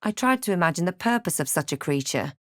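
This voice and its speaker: Wry, low-voiced fantasy heroine. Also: female voice, very animated, fast, dry recording, clean studio sound. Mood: reflective. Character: adventurous fantasy heroine, wry and watchful, narrating her journey. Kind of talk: video game character voice lines.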